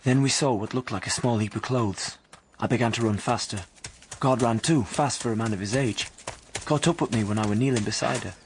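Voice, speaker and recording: An actor playing a restless young working-class husband who narrates in an anxious, colloquial, confiding way in an audio drama. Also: male voice, medium pitch, fairly quick, background noise, close to an everyday voice. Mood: grave.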